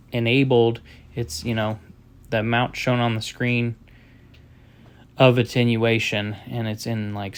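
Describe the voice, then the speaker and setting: A man demonstrates a product in an informal, step-by-step tutorial. His mid-pitched voice has plenty of vocal fry, and he explains things in a halting, matter-of-fact manner.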